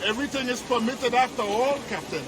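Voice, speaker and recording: A high-voiced loyal pirate mate. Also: male voice, a little fast. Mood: earnest. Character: loyal male pirate first mate, urgent. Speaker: first mate of the ship.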